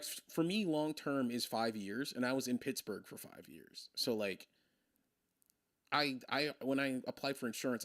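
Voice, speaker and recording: A man in his twenties from Maryland, chatting casually on a stream, rambling and thinking out loud with frequent laughs. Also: fairly low pitch, vocal fry, no room sound, clean studio sound. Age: 20s. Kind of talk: casual chat on a game stream.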